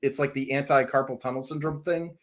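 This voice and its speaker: A man's voice: medium pitch, steady tone, a little fast. A man from New England telling rambling stories in a casual panel chat, laughing often as a comic creator guest.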